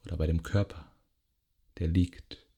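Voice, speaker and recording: A man guiding a yoga nidra meditation in a gentle, unhurried way, pausing between short phrases. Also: deep voice, flat delivery, slightly rough. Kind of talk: guided meditation, soothing relaxation instruction.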